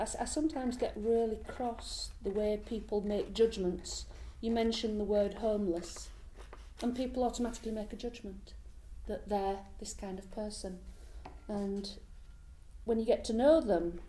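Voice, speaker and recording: A woman's voice: mid-range voice, a little slow, warm tone, a little hiss or hum, poor audio. A middle-aged woman who works as a hostel support worker, speaking earnestly in an interview with a few restarts. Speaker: independent living skills worker at a hostel. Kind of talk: interview talk.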